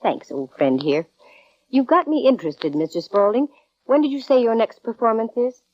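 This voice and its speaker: A woman's voice: on the high side, lively intonation, moderate pace. A woman playing a wry, self-assured young private detective in 1940s radio drama style, with dry, breezy delivery. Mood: wry, businesslike. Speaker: lead actress in radio detective series. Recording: dull vintage radio recording.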